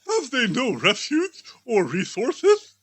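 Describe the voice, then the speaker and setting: A man's voice: very high-pitched, huge pitch swings, slow pace, a bit bright, husky, pitched above the performer's own voice, full character voice. A man voicing a remorseful old miser who pleads and exclaims plaintively in a storybook reading. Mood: anguished.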